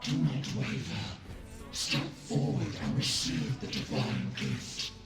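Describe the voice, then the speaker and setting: Game role: a grave, breathy male power-giver, deliberate and lofty, music behind. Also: lowish voice, wildly swooping pitch, full character voice. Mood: grave, persuasive. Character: solemn, otherworldly male voice of authority offering power. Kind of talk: dramatic video game voice acting.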